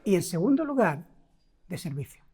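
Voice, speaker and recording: Animated Spanish woman preaching. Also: lowish voice, husky. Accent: Spain Spanish. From Spain. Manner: animated, rhetorical questions to the congregation; emphatic, slows down and stresses key words. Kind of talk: sermon.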